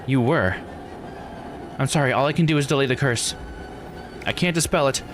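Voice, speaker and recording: A man's voice: mid-range voice, moderate pace, background noise, voice pushed up, natural voice. A man voicing a solemn, protective mystic who wards off curses, reading grave visual novel dialogue. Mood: urgent, grave. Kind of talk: visual novel character read-aloud.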